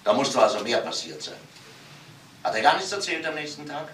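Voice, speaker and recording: An actor playing a calm police inspector in a crime radio play, questioning insistently and deliberately. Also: male voice, mid-range voice, distant mic, slight background noise, low-quality recording. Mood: probing. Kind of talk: dramatic radio play acting.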